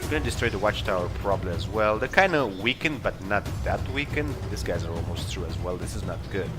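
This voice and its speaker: A man's voice: low voice, animated, fast talker. A man giving casual, improvised commentary on a game, hesitantly thinking aloud as he decides his moves. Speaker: let's play host. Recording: game music under commentary. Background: video game music and battle sounds.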